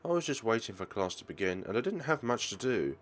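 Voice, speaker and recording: A man's voice: lowish voice, big pitch swings, quick pace. A man voicing a meek, wary teenage schoolgirl, the heroine of a visual novel. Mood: apologetic.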